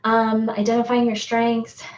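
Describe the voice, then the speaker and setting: A woman's voice: on the high side, completely flat delivery, unhurried, slight background noise. A woman psychologist from the United States explaining self-reflection exercises, often checking in with "right?" as she goes. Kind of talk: educational talk on relationships. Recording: roomy, slightly dull recording.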